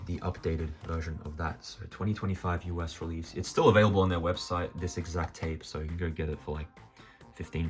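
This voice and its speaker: A man with an Australian accent talking casually and off the cuff to viewers as he presents a collection, rambling a little with hesitant asides. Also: deep voice. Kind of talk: casual unscripted show-and-tell to viewers.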